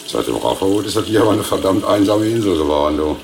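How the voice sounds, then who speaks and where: An actor playing a folksy, laconic pub landlord in his sixties with a gravelly voice, in a radio play. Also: sits low, expressive, fast talker, noisy background. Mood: resigned, casual. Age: 60s. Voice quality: gravelly, creaky. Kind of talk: radio play acting, casual pub talk.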